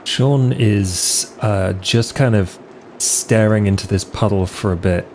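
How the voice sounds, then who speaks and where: A man's voice: lowish voice, slow, darkish tone, slight background noise. A man playing in a tabletop roleplay podcast, improvising casually in an offhand, understated way.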